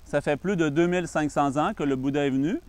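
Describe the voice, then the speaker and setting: A Canadian man with a Québécois French accent, a Buddhist monk giving a calm, patient introductory talk on meditation with frequent pauses. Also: a little high.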